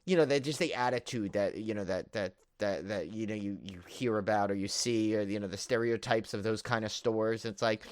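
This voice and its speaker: A man's voice: fairly low pitch, brisk, hardly any pauses, dry recording. A man co-hosting a podcast in casual film-review chat, rambling and repeating himself with enthusiastic emphasis. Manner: rambling, repeats himself, piles up "like" and "you know". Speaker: podcast co-host.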